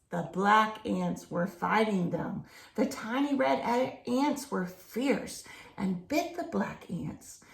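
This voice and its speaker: Female children's storyteller, unhurried and slightly gravelly, expressive read-aloud with simple explanations, recorded in a roomy, echoey space. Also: medium pitch. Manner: explains to young listeners with simple questions and expressive emphasis.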